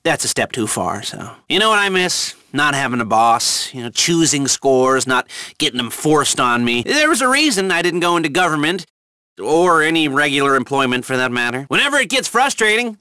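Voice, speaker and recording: An actor playing an anxious, wisecracking criminal heist planner in a video game, chatty and sarcastic. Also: male voice, on the high side, sharp tone, dry recording, studio quality. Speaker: heist crew planner giving mission instructions. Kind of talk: video game mission dialogue.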